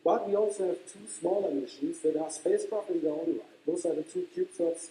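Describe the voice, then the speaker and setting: Dark-toned engineer in an echoey room. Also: male voice, on the high side, moderate pace. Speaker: chief engineer of a space mission. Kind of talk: interview in a documentary video. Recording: roomy video clip with light hiss.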